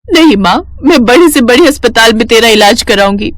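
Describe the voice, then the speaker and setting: A plaintive, weary orphaned young woman in a melodramatic animated tale, played by a woman. Her voice is fairly high and full of lament. The recording is dry. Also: moderate pace, no echo, natural voice. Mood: pleading. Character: grieving young orphaned street-food seller, weary and devoted daughter. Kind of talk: melodramatic storybook dialogue.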